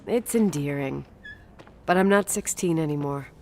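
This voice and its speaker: A woman's voice: low voice, moderate pace, darkish tone, slight background noise. An actress playing a guarded, strong-willed young American woman with a dry manner in a naturalistic video game. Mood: wistful, guarded. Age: young adult.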